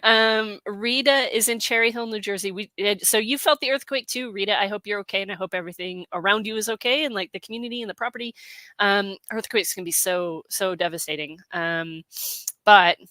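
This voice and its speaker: A middle-aged woman hosting a casual livestream, a little hoarse from allergies, chatting with lots of fillers as she reads out viewer comments. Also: medium pitch, fairly quick, bright, forceful tone, no room sound. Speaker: host. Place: home in Colorado.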